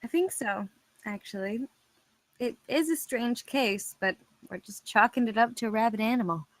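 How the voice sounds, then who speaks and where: A woman's voice: a little high, moderate pace, no echo, close to an everyday voice, low-quality recording. An actress playing a wry, tough female detective in a film script table read, casual and understated. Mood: casual, sincere.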